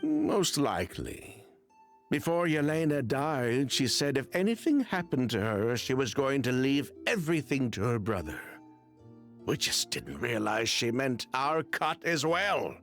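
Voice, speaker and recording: An actor playing a grave, rueful businessman in a video game, telling his story in a measured, dramatic way. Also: mid-range voice, very animated. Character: grave, rueful businessman confessing past schemes. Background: soft game music.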